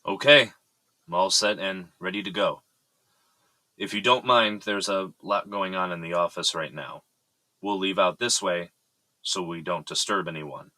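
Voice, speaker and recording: A man's voice: low voice, measured pace, sharp tone, no room sound. An actor playing a composed, evasive but polite businessman in an audio drama. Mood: polite, strained.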